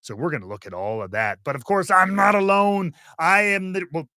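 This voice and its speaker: Male podcast host, higher-pitched and sharp, theatrical wrestling-announcer delivery, close dry sound. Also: fairly quick, no echo. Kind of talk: animated show introduction and wrestling preview.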